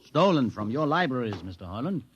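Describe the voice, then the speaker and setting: An actor playing a crippled male mutant leader in a 1950s radio drama, measured, ironic and commanding. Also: medium pitch, very animated, highs cut off, a little hiss or hum, natural voice. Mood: calm, sardonic. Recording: old 1950s radio broadcast.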